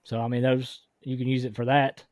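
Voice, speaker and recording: Man, podcast host, hoarse, sick-deepened voice, dry close sound. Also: fairly quick, no echo. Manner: relaxed, reads out viewer comments. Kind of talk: casual chat on a live fishing podcast.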